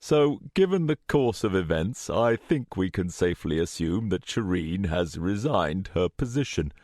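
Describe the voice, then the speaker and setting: A man's voice: deep voice, animated, a little slow, darkish tone, no echo, clean studio sound. A man voicing a coolly calculating male villain in an audiobook, with formal, unruffled, conversational phrasing. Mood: smooth formality.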